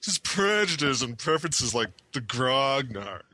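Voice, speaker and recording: A man's voice: mid-range voice, very animated, a little slow, bright, forceful tone, no echo. A man co-hosting a gaming podcast, joking and sarcastic in casual banter. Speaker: podcast co-host.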